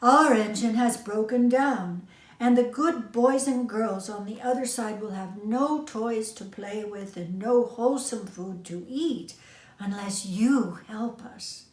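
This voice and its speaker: A woman's voice: a little high, slow pace. A woman acts out little storybook dolls and toys. They implore earnestly, crying out in a slightly raised voice with roomy echo around it. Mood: pleading, urgent. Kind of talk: children's storybook character voice.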